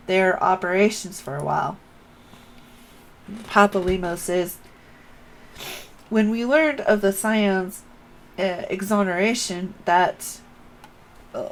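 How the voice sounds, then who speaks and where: A woman streaming a game reads its dialogue aloud in a careful, slow-paced way. Her voice sits at a middle pitch, with faint noise behind it. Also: poor audio. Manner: careful read-aloud with speaker tags.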